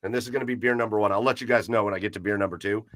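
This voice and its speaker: A man's voice: fairly low pitch, fairly even intonation, racing, fairly bright tone, dry recording, clean studio sound. A man hosting a casual live podcast, chatting and reading comments in an upbeat, announcer-like way. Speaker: podcast host. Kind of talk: casual livestream chat.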